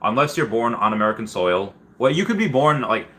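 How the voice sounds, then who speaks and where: A man's voice: medium pitch, sing-song, brisk, a bit bright. A man from Peru hosting a casual livestream, reading out and answering viewer comments in a rambling, stop-start, slangy way. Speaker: livestream host.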